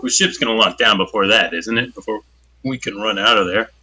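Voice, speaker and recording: A man's voice: lowish voice, a little fast, pushed and bright, slightly rough. A man playing a tabletop game, asking questions and restarting his phrases often. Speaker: player. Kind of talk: casual tabletop play.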